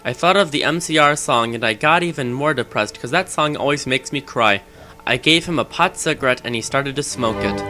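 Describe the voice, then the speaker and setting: A man's voice: mid-range voice, a little fast, bright, forceful tone. A man giving a theatrical, mock-serious comedic reading of fan fiction on an amateur game microphone. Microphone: Rock Band game microphone. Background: music.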